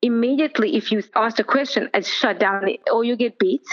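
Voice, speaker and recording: A middle-aged woman, telling her story in an earnest, steady way as an interview guest. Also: on the high side, brisk, dull audio. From South Africa. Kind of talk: personal testimony in an interview.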